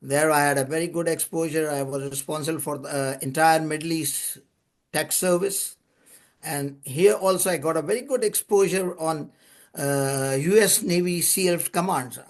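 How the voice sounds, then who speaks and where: An older man from Pakistan, an English speaker, telling long stories of his career in a relaxed way with small chuckles and restarted words. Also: a little high, moderate pace. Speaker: guest, lubrication consultant. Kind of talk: podcast interview storytelling.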